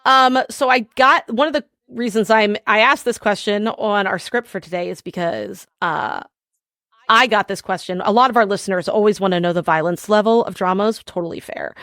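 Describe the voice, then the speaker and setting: A middle-aged woman hosting a podcast in casual chat, her voice husky and a little hoarse from yelling. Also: mid-range voice, dry recording, clean studio sound. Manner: chatty, laughing, reads synopsis aloud. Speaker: podcast host.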